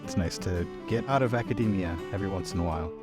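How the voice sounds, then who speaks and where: A principled young scholar, voiced by a man in a tabletop game. His voice sits fairly low and he pauses mid-sentence, polite but stubborn. Soft music runs underneath. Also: natural voice. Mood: polite, guarded. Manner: measured, pausing mid-sentence. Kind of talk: improvised tabletop roleplay.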